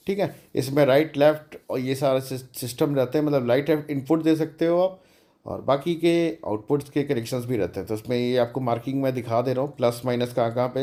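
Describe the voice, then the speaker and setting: A man's voice: medium pitch, warm tone. A man giving an informal electronics demonstration as a DIY host, chatty and colloquial, mixing English terms into his speech.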